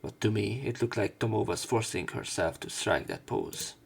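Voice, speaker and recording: Low, creaky man narrating a visual novel. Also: moderate pace. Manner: joking asides between read passages. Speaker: let's player reading and reacting. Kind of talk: read-aloud visual novel narration with casual commentary.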